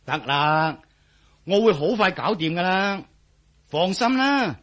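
An actor playing a worried, blustery police officer in a radio drama, speaking Hong Kong Cantonese with emphatic, sighing delivery. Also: male voice, sits high, lively intonation, slow pace, a bit bright, full character voice. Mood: serious, worried.

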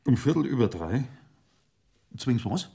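An actor playing a plodding village police sergeant with a Bavarian accent, husky and hesitant, reporting matter-of-factly in a radio drama. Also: male voice, mid-range voice, very animated, dark tone, exaggerated voice. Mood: puzzled. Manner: deliberate, matter-of-fact.

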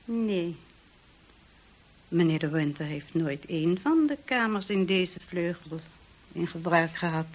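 An actress playing a cold, formal, gaunt housekeeper in a radio play, speaking with measured restraint. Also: female voice, on the low side, low-quality recording. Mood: cold, guarded.

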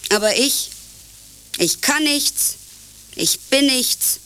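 A woman playing an ageing, listless, self-deprecating character in a naturalistic radio drama, speaking haltingly. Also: sits high, lively intonation, pushed and bright, slight background noise, full character voice. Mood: despondent.

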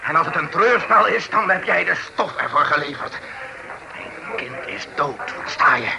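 Gravelly, anguished old father. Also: on the high side, animated, normal speed. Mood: grieving fury. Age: older. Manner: stammering, impassioned declaiming. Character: grieving, enraged old poet father out for justice. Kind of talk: vintage Dutch radio play acting. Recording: noisy old radio drama recording.

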